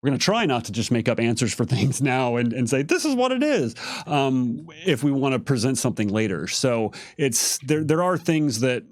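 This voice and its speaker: A man's voice: mid-range voice, animated, moderate pace, hardly any pauses. A man giving careful, casual explanations as a game developer on a livestream Q&A. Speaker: game setting developer on a Q&A panel.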